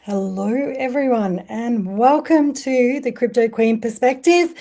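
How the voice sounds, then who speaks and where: A woman's voice: fairly high pitch, a little slow, dark tone. A woman hosting an interview podcast, upbeat and chatty, sometimes tripping over acronyms. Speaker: podcast host.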